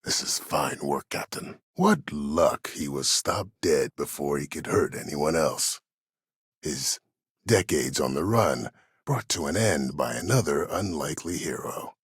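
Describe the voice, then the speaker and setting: An actor playing a cold, proud, older former war admiral in a video game, speaking formally and with measured authority in a gravelly, husky voice. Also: male voice, a bass voice, lively intonation, no echo, clean studio sound, heavily acted. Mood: cool, commanding. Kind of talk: dramatic video game dialogue.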